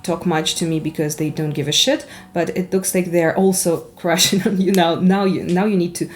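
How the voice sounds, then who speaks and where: A woman from Ukraine with a Ukrainian accent, a native Russian speaker, giving a chatty tarot card reading in an animated, giggly, rambling and candid way. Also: lowish voice, normal speed, slight background noise. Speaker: tarot reader.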